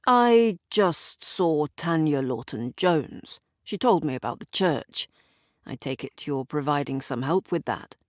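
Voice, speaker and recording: Woman voicing an earnest, low-pitched polite man. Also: animated, a little slow, a little mellow, no echo, studio quality. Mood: probing, friendly. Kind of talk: audio drama character dialogue.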